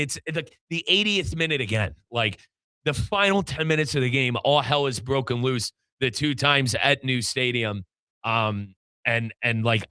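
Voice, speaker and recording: Casting: American male soccer podcast host, forceful bright voice, informal and chatty, close dry sound. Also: medium pitch, clear, resonant tone, no room sound. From United States. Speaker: sports podcast host and play-by-play commentator. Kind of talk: casual, animated soccer discussion.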